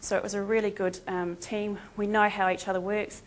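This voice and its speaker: A woman's voice: medium pitch, little pitch movement, a little fast, slight background noise. An Australian woman with a New Zealand-tinged accent giving a chatty, self-deprecating conference talk with frequent ums. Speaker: conference speaker, veterinary emergency team member. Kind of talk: conference presentation.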